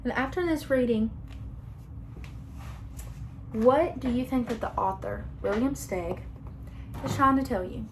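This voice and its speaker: A young woman teacher reading a picture book aloud to her class in a slow, expressive storytelling manner. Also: a little high, a little hiss or hum, poor audio.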